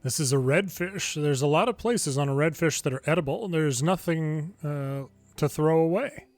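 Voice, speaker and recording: A man's voice: fairly high pitch, normal speed, no room sound, faint music behind. A man hosting a game video, reading the story dialogue aloud in an informal, amateur way with casual asides. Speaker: gameplay video host reading subtitles aloud.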